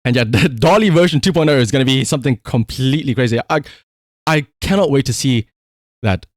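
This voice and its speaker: A man's voice: mid-range voice, brisk, no echo, studio quality. A man giving casual livestream commentary in a rambling, conversational, emphatic way, as the host talking to viewers.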